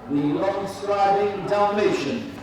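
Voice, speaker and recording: A man announcing and commentating at a live show in a measured, deliberate, formal manner. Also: sits high, a little mellow. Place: large indoor show arena. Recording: echoey PA in noisy crowded hall, distant. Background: crowd noise and hall ambience.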